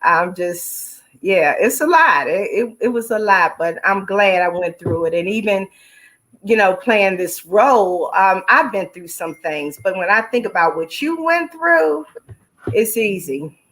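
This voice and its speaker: A middle-aged woman with a mid-pitched voice speaks as an interview guest. She is heartfelt and emphatic, testifying in repeated, preacher-like phrases. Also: lively intonation, unhurried, a bit bright. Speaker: stage play actress and singer, interview guest. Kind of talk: personal, testimonial interview answers.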